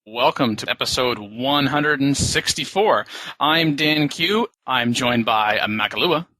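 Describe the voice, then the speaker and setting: A man's voice: mid-range voice, unhurried, bright, forceful tone, no room sound, poor audio. A man hosting a gaming podcast, talking in a casual, upbeat way and laughing easily. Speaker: podcast host.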